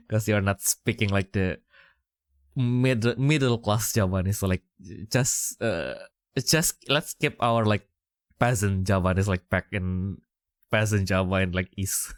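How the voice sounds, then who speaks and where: Chatty man from Indonesia, low voice. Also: lively intonation, slow, clear, resonant tone, no echo, clean studio sound. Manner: rambling, many fillers, frequent laughter. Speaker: podcast co-host. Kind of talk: casual chat.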